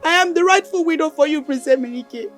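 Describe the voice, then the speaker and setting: A woman's voice: high voice, fast talker, soft background music, natural voice. A woman playing an obsessive, desperate young widow in a film drama, pleading and insistent. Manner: intense, pleading then insistent.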